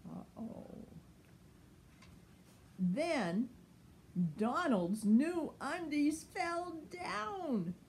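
Slow, expressive older woman reading a story. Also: high-pitched, a little hiss or hum. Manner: measured, dramatic, lingering on words. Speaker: picture book reader. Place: sunny room at home by large window.

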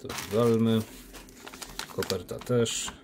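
A man unboxing silver coins, talking casually on a phone recording with a relaxed, chatty manner. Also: lowish voice, slow, gravelly, background noise. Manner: relaxed, chatty, with many diminutives and fillers. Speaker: coin collector unboxing silver. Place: indoor room by a window. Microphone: phone camera.

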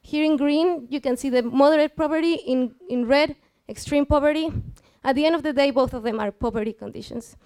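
A woman's voice: high voice, fairly quick, few pauses, darkish tone. A woman in her twenties with a Mexican accent gives an explanatory science talk to an audience, informally engaging them with questions. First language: Spanish. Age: 20s. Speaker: student speaker giving a public science talk. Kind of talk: live presentation to an audience, explanatory. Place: small lecture room with seated audience. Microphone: headset.

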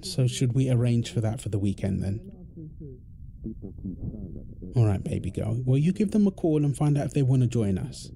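A man playing an affectionate, tired husband and father in an intimate audio roleplay, talking tenderly with soft endearments. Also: medium pitch. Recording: clear background ambient noise.

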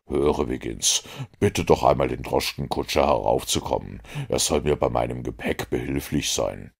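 A cool, precise detective, as a man reads him out, his very deep voice slow and assured. There is a gravelly, husky edge to every measured line. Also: full character voice. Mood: calm, assured.

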